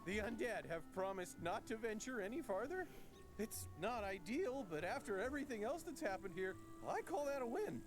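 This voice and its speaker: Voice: medium pitch, moderate pace, natural voice. An actor voicing a worried game townsperson who begs the player for help against undead mobs. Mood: anxious. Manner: pleading, lively. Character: worried townsperson guarding a street. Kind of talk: game voice-over dialogue. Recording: game audio with background music.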